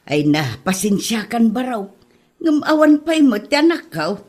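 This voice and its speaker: A woman's voice: on the high side, sing-song, a little slow, darkish tone. A woman playing an apologetic middle-aged village mother in an Ilocano comedy drama. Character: apologetic village mother, soft on her daughter's suitor.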